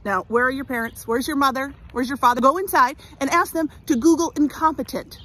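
A woman's voice: sits high, a little fast, a bit bright. A woman playing a judgmental, nosy suburban neighbor in a comedy monologue, blunt and condescending. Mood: scolding. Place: outdoors in a residential front yard.

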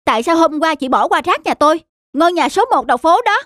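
A woman voicing a haughty, irritable young female neighbour in a cartoon, sharp and complaining. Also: very high voice, lively intonation, quick pace, dry recording, clean studio sound. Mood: annoyed. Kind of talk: cartoon moral-drama dubbing.

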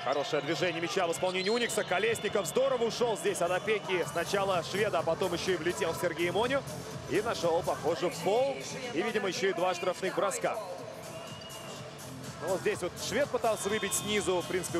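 A man calling a live basketball game play by play, brisk and lively. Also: high voice, music in the background. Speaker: play-by-play commentator.

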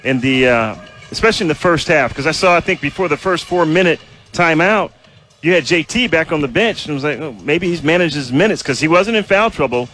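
A man who is a radio analyst, asking rambling, halting questions with many restarts. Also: fairly high pitch, lively intonation, fairly bright tone. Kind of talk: postgame radio interview.

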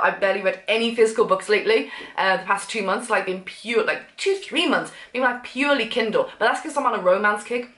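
Woman, thirties, casual vlog chat, quick talker, slightly congested, roomy echoey sound. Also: mid-range voice. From England. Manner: chatty, animated, gestures while talking. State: blocked up with a cough. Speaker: vlogger. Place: sunroom with sofa, indoors.

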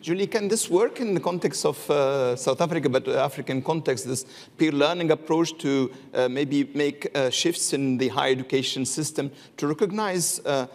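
A man moderating a conference panel, posing a measured question through a lapel mic. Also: mid-range voice. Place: large conference hall stage. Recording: reverberant hall.

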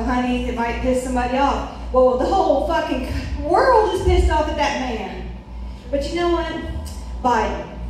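A very reverberant, slightly noisy club recording of a woman performing stand-up. She is middle-aged and has a high voice. Her delivery is opinionated and bluntly foul-mouthed, spoken into a handheld stage mic. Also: a little mellow. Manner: brash, profane, opinionated. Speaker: stand-up comedian. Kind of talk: stand-up comedy routine. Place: small comedy club stage, indoors. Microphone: handheld stage mic, recorded from the audience. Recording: very echoey room, distant mic, faint noise.

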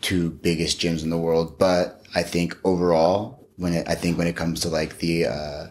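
A man in his thirties talking casually as a podcast guest, thinking aloud in a measured way and repeating words as he goes. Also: low-pitched, level tone, darkish tone, slight background noise. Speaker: guest, gym owner and martial arts coach. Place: small indoor podcast studio. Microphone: podcast microphone on a boom arm.

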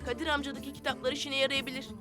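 A woman voicing an earnest, helpful young boy hero in an animated children's series. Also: high voice, racing, over music, close to an everyday voice.

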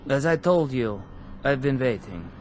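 An actor playing a quiet, menacing former camp prisoner in a radio drama. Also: male voice, medium pitch, animated, a little slow, close to an everyday voice. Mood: menacing calm. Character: calm vengeful former male camp prisoner. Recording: radio play with music behind.

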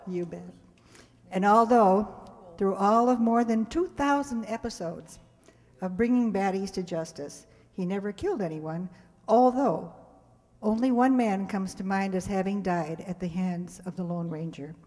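A woman in her seventies reads a scripted introduction to an audience at a stage microphone, measured, with wry asides. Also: medium pitch, a little mellow. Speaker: presenter introducing a stage radio play. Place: stage in a community hall, indoors. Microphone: handheld-style microphone on a stand. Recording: hall reverb from stage microphone.

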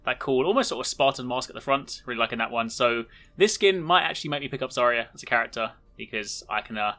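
A man's voice: medium pitch, animated, fast, bright, forceful tone. A man hosting a gaming video, giving chatty, informal, enthusiastic showcase commentary.

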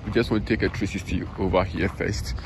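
A Ghanaian man with a low-pitched voice presents an informal walking commentary for sports viewers. He checks in with his audience often as he goes. The handheld outdoor recording carries very heavy background noise. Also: fairly even intonation, fast talker. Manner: informal, frequent "you understand" check-ins. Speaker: sports channel host. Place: outdoors, open stadium grounds and car park.

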